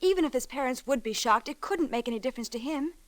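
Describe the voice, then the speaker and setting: A woman's voice: sits high, expressive, fast, no echo. An actress playing an earnest, devoted young woman in a vintage radio melodrama, with a breathless, pleading, emotional delivery. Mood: devoted, firm. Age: young adult. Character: earnest, devoted young bride-to-be longing for her father. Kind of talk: 1940s-50s radio melodrama acting.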